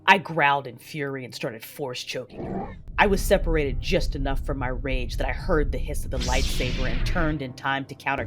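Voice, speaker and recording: An actress playing a vengeful, anguished Sith warrior, narrating her own tale in an intense, brooding, theatrical manner for an audio drama. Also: a bass voice. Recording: loud music behind the voice. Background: loud dramatic music.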